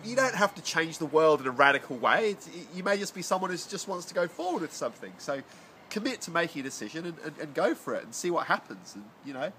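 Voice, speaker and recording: A man's voice: fairly high pitch, very animated, fast, sharp tone, a little hiss or hum. A man with a cold and a congested voice, chatting casually to camera about a book, rambling with fillers and restarts. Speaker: vlogger reviewing a self-help book. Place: home garden area.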